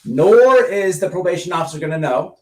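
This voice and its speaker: A man's voice: high voice, expressive, a little fast. A man giving blunt, casual, opinionated commentary, emphatic and repeating his phrases as he explains with examples. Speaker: online streamer giving commentary. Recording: roomy, distant mic with faint noise.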